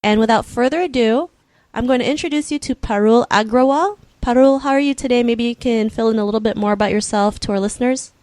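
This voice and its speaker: A woman's voice: fairly high pitch, quick pace, a little mellow, dry recording. A woman hosting a podcast interview, talking loosely and chattily with fillers and restarts. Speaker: podcast host. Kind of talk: casual interview chat.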